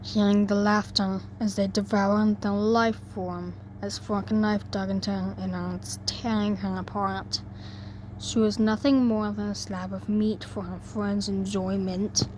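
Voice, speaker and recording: A woman's voice: medium pitch, little pitch movement, measured pace, darkish tone. A woman narrating a story in an amateur reading, stumbling over words and rereading them. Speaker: fan fiction reader. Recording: noisy amateur home recording.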